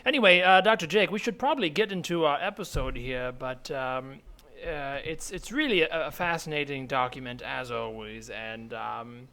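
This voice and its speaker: A man playing an excitable, pompous scholar from the far future in improvised comedy, gushing and halting in a mock-learned way. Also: medium pitch. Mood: earnest, fascinated.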